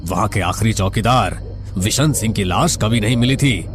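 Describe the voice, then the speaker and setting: A man playing a matter-of-fact official briefing a patient in a horror story, measured and formal. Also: on the low side, over music, close to an everyday voice. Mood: formal concern.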